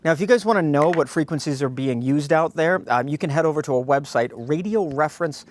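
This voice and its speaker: A man's voice: on the high side, fairly quick, a little hiss or hum. A man presenting an informal product review, addressing viewers directly in a folksy way as a host. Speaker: host of a radio gear review channel.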